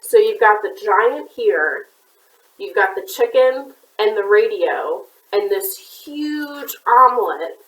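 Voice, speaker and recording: A woman's voice: medium pitch, animated, takes it slowly, fairly bright tone. A woman children's librarian reading a picture book aloud to kids, with chatty asides. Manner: chatty asides to young listeners. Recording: roomy echoey room.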